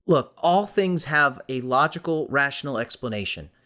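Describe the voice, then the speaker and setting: A man's voice: medium pitch, animated, moderate pace, sharp tone, pitched above the performer's own voice. A man acts out a teenage boy from an audiobook adventure, skeptical and sardonic. His mid-range voice sounds defensive and exasperated, faltering when he is cornered. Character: exasperated skeptical eighteen-year-old American high school boy.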